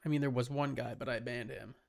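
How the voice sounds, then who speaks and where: A man's voice: medium pitch, steady tone, quick pace, vocal fry, darkish tone. A man livestreaming a game with casual, informal commentary, muttering to himself and his chat. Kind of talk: casual live gaming commentary.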